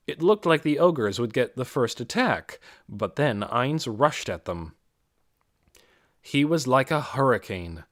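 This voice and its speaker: A man's voice: mid-range voice, no room sound, studio quality. A man reading a fantasy audiobook as dramatic scripted narration.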